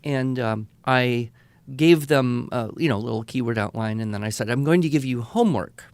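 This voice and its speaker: A man co-hosting an education podcast, telling anecdotes in a relaxed chat and raising his voice when he quotes people. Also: mid-range voice, normal speed, smooth, no room sound. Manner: animated anecdotes, voice lifts when quoting. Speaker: podcast co-host, writing teacher. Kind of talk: conversational storytelling.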